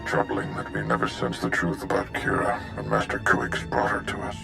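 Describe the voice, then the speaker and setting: A grave, wise Jedi master in a game cutscene. The voice is extremely low and full of gravel, moving expressively up and down over music. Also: male voice, huge pitch swings, normal speed, exaggerated voice. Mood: troubled. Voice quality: gravelly, very deep. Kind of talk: dramatic video game acting.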